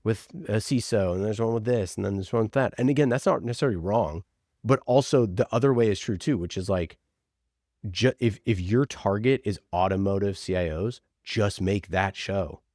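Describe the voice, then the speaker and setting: A man's voice: fairly low pitch, normal speed, darkish tone, no room sound, clean studio sound. A man giving conversational marketing advice as an interview guest, informal, opinionated and emphatic, often voicing imagined quotes. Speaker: podcast producer, interview guest.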